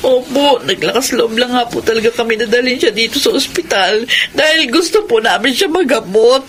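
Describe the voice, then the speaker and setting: An actress playing a repentant wife and mother in a radio drama, soft, humble and polite. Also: fairly high pitch, animated, moderate pace, slight background noise, lightly acted. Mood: pleading.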